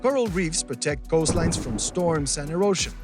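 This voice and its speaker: A man's voice: on the high side, animated, normal speed, music in the background. A man reading scripted news narration for young viewers.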